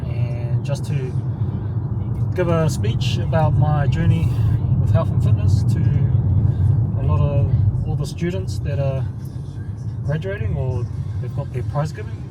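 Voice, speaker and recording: A man in his forties from New Zealand with a New Zealand accent, a fitness coach giving a motivational speech in short, deliberate phrases. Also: on the high side, animated, heavy background noise. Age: 40s.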